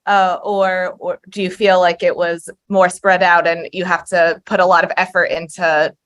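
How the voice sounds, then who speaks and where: A woman's voice: lowish voice, flat delivery, moderate pace, a bit bright. A woman moderating a webinar over a video call, asking questions with hesitant fillers. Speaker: host.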